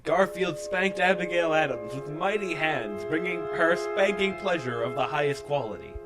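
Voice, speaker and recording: A man's voice: on the high side, lively intonation, normal speed, loud music behind the voice. A man reading comic fan fiction aloud as a mock-dramatic storyteller.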